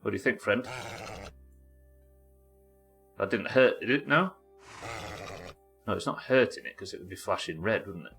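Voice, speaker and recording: Sniffly low-voiced man streaming a game. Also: expressive, brisk, a bit bright, faint music behind. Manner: chatty, thinks aloud, talks to viewers and his in-game pets. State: sniffly with a head cold. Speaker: livestreaming gamer. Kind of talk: casual live gameplay commentary.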